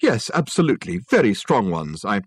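A man's voice: lowish voice, huge pitch swings, fairly quick, slightly rough, dry recording, heavily acted. A man voicing a flustered, indignant, spoilt grown son in an audiobook, spluttering and breaking off mid-sentence. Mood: stunned, eager.

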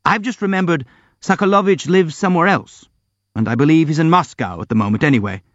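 A man's voice: fairly high pitch, a little fast, no room sound, muffled recording, pitched above the performer's own voice, lightly acted. A man voicing an elderly, boastful army general who speaks in a grandiloquent, effusive way. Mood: pompous. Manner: grandiloquent, effusive, self-pitying.

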